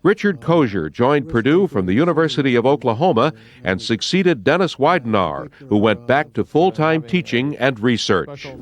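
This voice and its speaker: An American man reads scripted newsreel narration. His pitch sits in the middle and moves in a lively, animated way as he delivers each item. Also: moderate pace.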